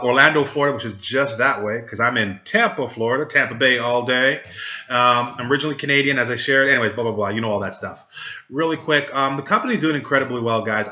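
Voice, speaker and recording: Jokey, upbeat recruiter man from Trinidad; bright tone, echoey lo-fi room. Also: medium pitch. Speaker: network marketing recruiter. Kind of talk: casual sales pitch to camera.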